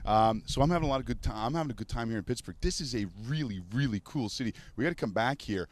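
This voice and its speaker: Fast-talking upbeat male host outdoors. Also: medium pitch. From Montreal, Canada. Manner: upbeat, chatty, jokey. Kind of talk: casual on-location show hosting. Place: outdoors by a river in a city.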